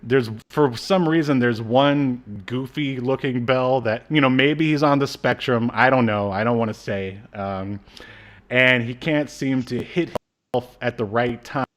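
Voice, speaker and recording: Man, thirties, medium pitch, podcast co-host, casual review chat, jokey and informal. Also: measured pace, fairly bright tone. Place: indoor room, on a video call.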